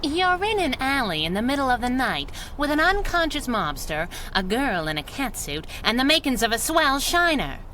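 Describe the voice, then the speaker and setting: Tough young masked crimefighter, 1930s radio style: sharp, animated, needling wisecracks. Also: female voice, high-pitched, normal speed, hardly any pauses, slight background noise, full character voice, low-quality recording. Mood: brash, scolding.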